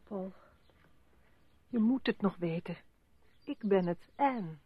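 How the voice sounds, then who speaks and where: Anxious, stubborn wife, muffled recording. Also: female voice, medium pitch, lively intonation, slow pace, breathy edge, no echo. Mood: subdued, worried. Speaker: actor. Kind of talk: Dutch radio play acting. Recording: dull, muffled old radio recording.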